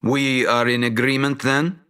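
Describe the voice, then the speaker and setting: An actor playing an arrogant, scheming wizard in a video game, speaking in a pompous, imperious, theatrical way. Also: male voice, medium pitch, level tone, measured pace, pushed and bright, smooth.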